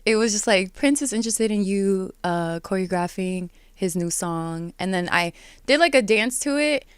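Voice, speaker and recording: A woman in her twenties from Miami, chatting casually as an interview guest, relaxed and laughing, with many filler words. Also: mid-range voice, moderate pace, smooth, dry recording, clean studio sound. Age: 20s. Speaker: guest artist.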